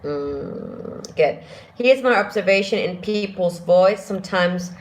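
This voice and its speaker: A woman vocal coach with a deep speaking voice, answering viewer questions and demonstrating exercises in a live singing lesson. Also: expressive, slow, a little hiss or hum, rough recording.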